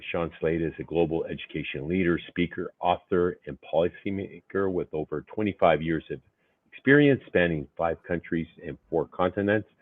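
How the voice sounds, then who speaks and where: A man's voice: deep voice, dry recording. A man hosting a formal interview series, reading introductions and putting questions to a guest. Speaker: interview host, organization CEO. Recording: muffled phone-like audio.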